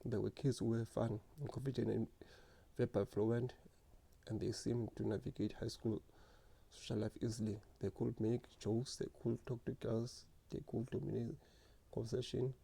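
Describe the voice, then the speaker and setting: A man telling his own story in a slow, earnest, reflective way, stuttering often with repeated words and restarts. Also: mid-range voice, level tone, creaky, dark tone, slightly rough. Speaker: speaker sharing lived experience. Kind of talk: personal reflective monologue.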